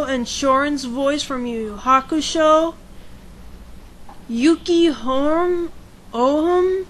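High-pitched, hesitant woman. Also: a bit bright, a little hiss or hum, poor audio. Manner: hesitant, self-deprecating, trails off. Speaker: fan video narrator. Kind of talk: casual halting fan announcement. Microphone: home computer microphone.

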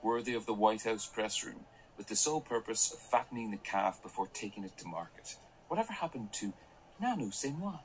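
A man's voice: fairly low pitch, lively intonation, fairly quick, close to an everyday voice, poor audio. An actor in a radio drama playing a wry, idealistic male employee turned whistleblower, speaking in a sardonic, conversational way. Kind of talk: radio drama acting and first-person narration. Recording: faint noise, played back through a speaker.

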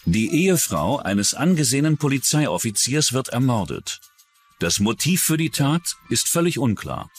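A man's voice: mid-range voice, big pitch swings, normal speed, soft background music. A man delivering scripted true-crime documentary narration as the narrator.

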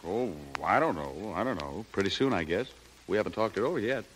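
A man's voice: on the low side, expressive, fairly quick, slight background noise, lightly acted, rough recording. An actor playing a breezy, easygoing showbiz leading man with slangy speech in a 1930s radio drama. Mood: evasive. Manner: jaunty, slangy. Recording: old 1930s radio recording.

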